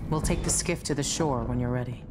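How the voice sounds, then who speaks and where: A woman's voice: a bass voice, fairly quick, over loud music. An actress playing a blunt, practical woman boat captain in a video game, speaking in a dry, matter-of-fact way. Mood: steady. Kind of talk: video game cutscene dialogue.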